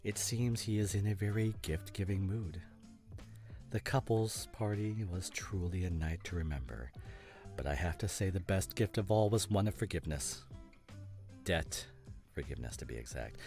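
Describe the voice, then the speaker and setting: A low male voice acted by a man plays a self-satisfied detective unmasking a culprit in an audio drama. He is grandiose and deliberate, savoring dramatic pauses. His pitch swoops widely for effect, over faint plucked guitar. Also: lively intonation. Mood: theatrical, gloating. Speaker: voice actor in an audio roleplay. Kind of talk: theatrical scripted ASMR roleplay monologue.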